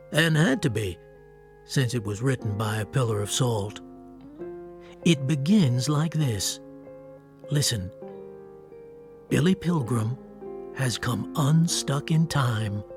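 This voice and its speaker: A man's voice: mid-range voice, animated, slow, pauses often. An actor playing an earnest, wry war-veteran writer who tells his story in a radio drama. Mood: wry, reflective. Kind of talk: radio drama acting and literary narration. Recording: music under the voice. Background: music.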